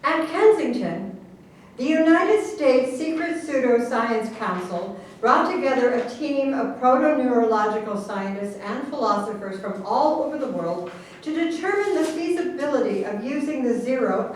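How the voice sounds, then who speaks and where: Distant echoey recording, woman playing earnest government official, pompous lecturing, deliberate emphasis, staged satire. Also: high-pitched, animated. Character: government official earnestly explaining absurd secret science. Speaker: actor in a staged reading. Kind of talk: theatrical staged reading of a satirical play. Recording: very echoey room, distant mic, faint noise.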